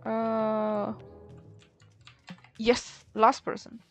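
A woman's voice: a little high, monotone, drawn-out words. A woman giving casual gameplay commentary, musing to herself and exclaiming at what she finds. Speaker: gaming streamer. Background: soft game music.

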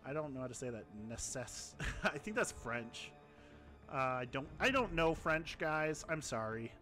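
A man's voice: medium pitch, lively intonation, unhurried, pushed and bright, faint music behind. A young man on a headset, chatting casually and rambling as he streams a mobile game, laughing at himself. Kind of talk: casual live-stream chat. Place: home streaming room.

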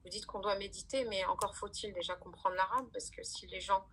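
A woman's voice: medium pitch, fairly even intonation, brisk, a bit bright, slightly rough. A woman student in an online class who speaks hesitantly and searches for her words.

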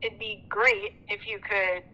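Laura on a tinny voicemail. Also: female voice, mid-range voice, little pitch movement, a little slow, sharp tone. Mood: earnest. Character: Laura, earnest sister leaving a phone message. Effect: telephone answering machine filter. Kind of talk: audio drama voicemail. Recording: tinny answering machine playback.

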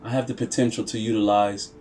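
A low-pitched male narrator delivers scripted storytelling in the role of a proud, grave machine. He speaks solemnly and deliberately, leaving dramatic pauses. Music plays clearly behind the voice-over. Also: level tone, a little mellow. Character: computer processor telling its own story as a grave, proud character. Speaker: video narrator.

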